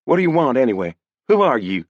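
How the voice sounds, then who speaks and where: A man's voice: medium pitch, very animated, quick pace, no echo, pitched above the performer's own voice. A man voicing a wary, bossy young woman on a frontier ranch. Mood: hostile. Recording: dull lo-fi dry audiobook recording.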